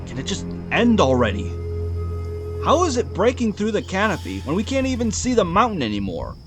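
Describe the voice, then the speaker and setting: A man's voice: sits high, huge pitch swings, fairly quick, over music, full character voice. A man playing an anxious, fretful member of a lost expedition in an audio drama, sounding agitated. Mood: irritated, then alarmed.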